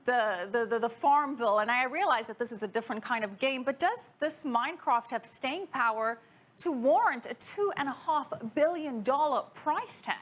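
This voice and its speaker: A woman's voice: high voice, animated, normal speed. A woman anchoring a broadcast news interview, putting questions to a guest.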